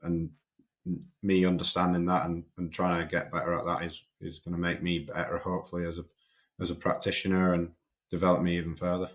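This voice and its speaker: A young man with a Yorkshire accent speaks in a casual podcast interview. His voice sits very low and stays flat and even, and he talks haltingly, often repeating words as he restarts sentences. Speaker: strength and conditioning coach, podcast guest.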